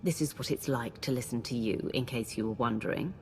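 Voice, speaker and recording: An actress playing a confident, wry warrior leader in a sci-fi game, with a dry, commanding delivery. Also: female voice, rumbling low, fairly quick, a little hiss or hum. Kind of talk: video game voice acting.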